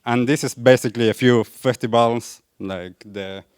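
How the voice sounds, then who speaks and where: A man's voice: medium pitch, fairly even intonation, normal speed, clear, resonant tone, dry recording, studio quality. A young adult man from Argentina with an Argentine accent, giving an earnest talk to a live audience and asking them questions. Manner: earnest, asks the audience questions, light laughs. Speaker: wellness coach and speaker. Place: event venue in front of a live audience.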